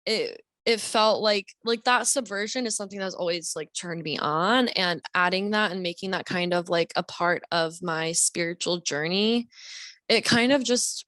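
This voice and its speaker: A woman's voice: lowish voice, moderate pace, a bit bright, no room sound. A young woman as a podcast guest, talking in a rambling, reflective way with many fillers. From Deep South, US. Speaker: guest author. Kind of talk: casual podcast conversation.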